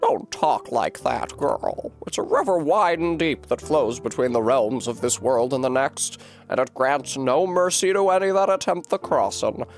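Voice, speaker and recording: A man voicing a vulgar, fierce tiny old grandmother who talks gruffly and coarsely. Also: mid-range voice, animated, normal speed, few pauses, faint music behind, close to an everyday voice. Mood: stern.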